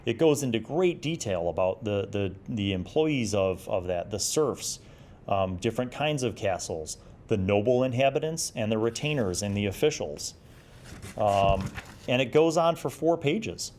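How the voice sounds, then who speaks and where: A man's voice: fairly low pitch, expressive, normal speed, darkish tone. A man hosting a retro gaming review, talking casually and thinking aloud as he goes. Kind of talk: casual rambling review commentary.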